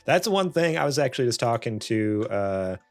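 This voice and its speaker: A man's voice: medium pitch, sing-song, normal speed, no room sound. A man of about thirty hosting a podcast with casual, jokey, self-deprecating banter. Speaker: podcast host. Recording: music playing behind. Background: background music.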